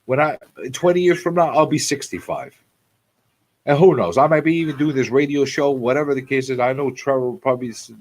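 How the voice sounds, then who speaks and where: A man's voice: medium pitch, low-quality recording. A man in his forties hosting a casual live talk show, repeating phrases for emphasis as he talks off the cuff. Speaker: radio show host.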